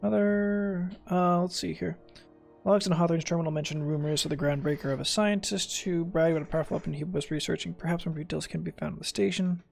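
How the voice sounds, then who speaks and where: A man's voice: a little high, fairly even intonation, brisk, creaky, a little mellow, soft background music. A man doing offhand gameplay commentary as a streamer, muttering and reading game text aloud.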